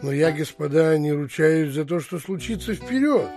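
An actor playing a blunt, stolid, self-justifying landowner in an audio play, speaking with weighty emphasis. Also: male voice, a little high, lively intonation, normal speed, a little mellow, faint music behind. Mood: stolid, defensive.

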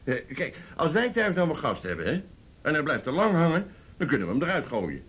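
Husky, belligerent younger brother. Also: male voice, a little high, huge pitch swings, a bit bright, exaggerated voice. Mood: heated, bitter. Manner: halting, goading, full of tag questions. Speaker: actor in a radio play. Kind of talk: naturalistic Dutch radio play dialogue.

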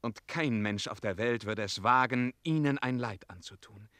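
An actor playing an ardent, tender knightly hero in a radio play, earnest and romantic. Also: male voice, mid-range voice, normal speed, no room sound, lightly acted. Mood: tender, yearning. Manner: earnest, romantic, swinging from hushed to declamatory. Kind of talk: German radio play acting.

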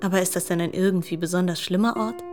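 A woman's voice: lowish voice, steady tone, fast talker, loud music behind the voice, natural voice. A woman acting a guarded young woman in a literary radio drama, formal, articulate and firm in her denials. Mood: defensive, firm.

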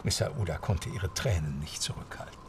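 A man's voice: sits low, normal speed, vocal fry, background noise. A man narrating a scripted adventure story as the narrator of an audio drama.

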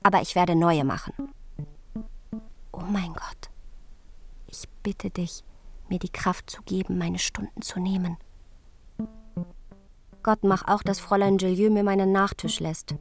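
An actress playing an earnest, playful young princess in a radio drama, reciting notebooks and letters in a childlike, emphatic way. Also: female voice, lowish voice, steady tone, unhurried, pauses often, soft background music. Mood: pleading, earnest.

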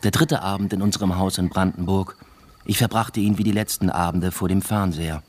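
A man's voice: low-pitched, fairly even intonation, a little fast, a little mellow. An actor playing a brooding academic father who narrates his own story in a radio drama, subdued and introspective. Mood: subdued, pensive. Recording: music bed behind the voice.

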